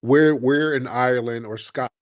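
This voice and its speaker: A man's voice: mid-range voice, steady tone, moderate pace, no room sound, clean studio sound. Combative male call-in radio host. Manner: combative, interrupting, lecturing.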